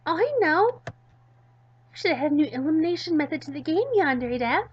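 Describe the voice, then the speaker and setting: Woman putting on an excitable, high-pitched pestering girl. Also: normal speed, lo-fi sound, soft background music. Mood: pleading, bubbly. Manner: excitable, pleading, gushing. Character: peppy, pestering teenage girl, eager and oblivious.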